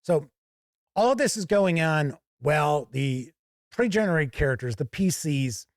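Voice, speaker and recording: A man hosting a game review, explaining things in a conversational, measured, unscripted way as he talks to camera. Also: mid-range voice, no echo, clean studio sound. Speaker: board and roleplaying game reviewer, show host.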